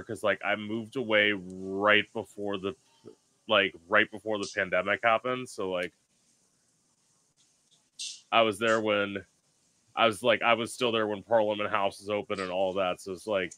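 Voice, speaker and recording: A man's voice: lowish voice, sharp tone, low-quality recording. A man hosting a casual podcast over a video call from a room at home, rambling with many fillers and laughs. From South Florida. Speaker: podcast host.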